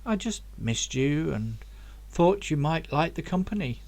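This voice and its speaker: A man's voice: on the high side, sing-song, measured pace, darkish tone. A man voicing an earnest, plain-spoken adult son in an audiobook story. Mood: tender. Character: earnest adult son, emotional time traveller.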